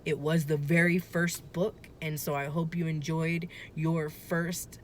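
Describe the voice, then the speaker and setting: A woman with a low voice reads a children's storybook aloud. Her delivery is slow and deliberate, rising and falling expressively. Some rumbling noise runs underneath. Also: level tone. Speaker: storybook reader.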